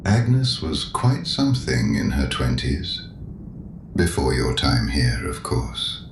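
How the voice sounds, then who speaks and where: A man in an audio drama playing a sinister, ancient, knowing penitent, courteous and sardonic, with a very deep gravelly voice. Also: sing-song, exaggerated voice. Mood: mocking, gossiping. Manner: deliberate, probing, sardonic, urbane. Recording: echoey room with audible background noise.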